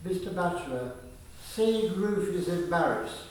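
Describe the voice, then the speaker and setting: A man narrating a scripted radio play in a measured way. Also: high voice, expressive. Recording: very echoey, distant, faintly noisy room.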